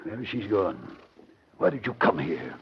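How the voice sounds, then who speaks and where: A man's voice: on the low side, big pitch swings, measured pace, background noise. A man playing a respectable businessman who is secretly a crime boss, formal and curt in 1940s radio style. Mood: stern. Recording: muffled old radio drama recording.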